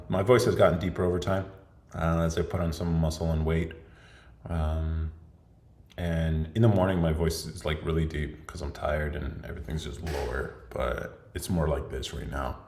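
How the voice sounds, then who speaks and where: A man's voice: sits low, a little mellow. A young man hosting a livestream Q&A podcast, chatting casually and laughing often as he jokes. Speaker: podcast host. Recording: echoey room.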